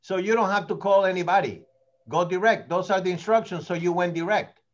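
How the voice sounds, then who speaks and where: Older man opinionated trainer with high voice. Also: a little fast, dull audio. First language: not English. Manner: opinionated, digressive, repeats words. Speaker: real estate trainer. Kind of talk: rambling training talk. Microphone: meeting recording.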